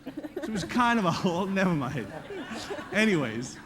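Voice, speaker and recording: A man's voice: sits high, sing-song, normal speed, crowd noise. A man from Bosnia speaking on a conference panel, casual and joking. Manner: joking, casual, laughs at own jokes. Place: conference stage. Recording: reverberant conference hall.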